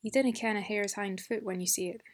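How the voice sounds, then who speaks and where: A woman's voice: medium pitch, steady tone, quick pace, natural voice. A woman voicing a cruel, gluttonous stepmother who snaps and mocks. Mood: mocking. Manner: snappish, mocking.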